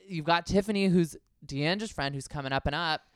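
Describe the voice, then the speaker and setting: Sex: man. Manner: gossipy, analytical. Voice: smooth. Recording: dry close mic. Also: fairly high pitch, a little fast, dry recording. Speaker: podcast co-host. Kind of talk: casual chat about reality TV.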